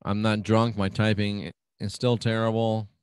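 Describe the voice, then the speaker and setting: A man's voice: lowish voice, level tone, unhurried, darkish tone, clear, resonant tone, no room sound, studio quality. A man hosting his own radio show, chatting casually and reading out listener comments in a folksy, agreeable way. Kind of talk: casual talk reading out live chat comments.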